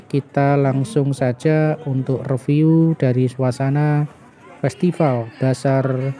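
A middle-aged man narrates a walking review as a vlogger, in halting phrases dotted with little tag words. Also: mid-range voice, mellow. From Indonesia. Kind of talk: walking vlog narration, food bazaar review. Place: outdoor night food bazaar in a car park.

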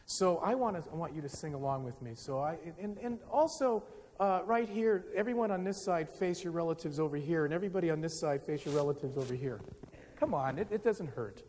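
A middle-aged man gives a public lecture on stage in a large dark auditorium, speaking into a podium microphone in a conversational, self-deprecating way. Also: fairly high pitch, expressive, brisk. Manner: conversational, self-deprecating, gets the audience singing. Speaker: forum speaker. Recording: reverberant hall with faint hiss. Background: live audience.

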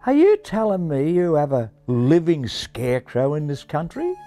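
Australian accent, excitable cheeky pigeon, done by a man, blunt delivery, high and wildly varied pitch. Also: unhurried, faint music behind, heavily acted. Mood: excited, scornful. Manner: blunt, slangy, exclamatory.

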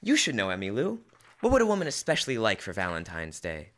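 A man's voice: fairly low pitch, wildly swooping pitch, quick pace. A young man playing an earnest, flustered husband in an old-time radio comedy. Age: teens to young adult. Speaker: student actor. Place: school library room, staged performance. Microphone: stand microphone on stage.

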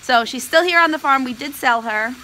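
A woman's voice: sits high, brisk, sharp tone, a little hiss or hum, rough recording. Chatty woman filming in a barn. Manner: chatty, explaining as she films. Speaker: alpaca farmer and vlog host. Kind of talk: casual explanatory vlog. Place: cold wooden barn indoors. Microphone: phone held at arm's length.

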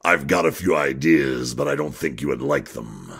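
A very deep male voice with a heavy gravelly rasp , acted by a man. He is a gruff, wary sceptic from an audio drama, grumbling bluntly and sarcastically. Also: normal speed, fairly bright tone, exaggerated voice. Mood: wary, sardonic. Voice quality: gravelly, husky.

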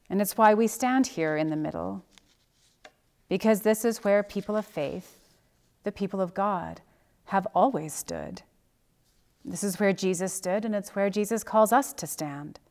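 A woman's voice: medium pitch, a little mellow. Middle-aged woman minister preaching. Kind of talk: sermon and prayer. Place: church sanctuary, at the pulpit.

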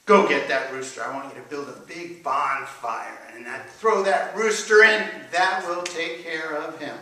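A furious, self-important Sultan from a folktale, played by a man barks orders in a raised, forceful voice. The sound is distant and very roomy. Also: a little high, a little slow. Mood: imperious, angry. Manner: barking orders. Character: pompous, furious Turkish Sultan in huge pants. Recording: very echoey room, distant mic.

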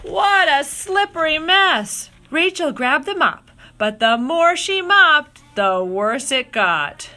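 A woman reading a children's picture book aloud with expressive, drawn-out dramatic phrasing. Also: high-pitched, a little hiss or hum. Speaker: storybook reader.

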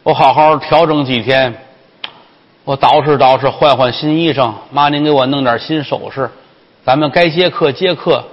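Man as a composed, husky-voiced young courtesan. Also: medium pitch, moderate pace, long reverb tail, highs cut off, close to an everyday voice. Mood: calm resolve. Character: young courtesan, polite, composed, quietly cunning.

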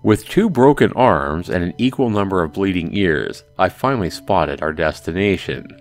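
Man, low voice, lively intonation, casual game narration, amused asides. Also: normal speed, soft background music. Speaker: let's play host. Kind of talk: reading visual novel aloud with casual commentary.

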